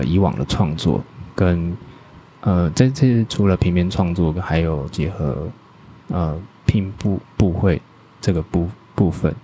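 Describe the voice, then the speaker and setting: A man, a guest artist in a casual podcast interview, talking haltingly with many filler pauses and quick agreements. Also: low-pitched, dark tone, a little hiss or hum. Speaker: guest artist, toy brand owner.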